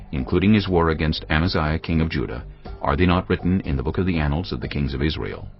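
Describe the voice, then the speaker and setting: Deep-voiced male Bible narrator, lively intonation, muffled lo-fi sound. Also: vocal fry.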